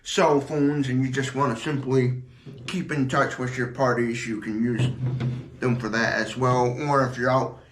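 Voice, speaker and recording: A man giving a casual product demonstration in a halting, repetitive way, explaining as he goes. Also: medium pitch, little pitch movement, background noise. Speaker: product reviewer. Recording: echoey room.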